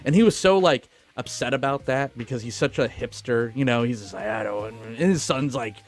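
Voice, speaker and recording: Male podcast co-host: average pitch, chatty, enthusiastic, loose with swearing, faint music underneath. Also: fairly quick. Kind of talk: casual film discussion.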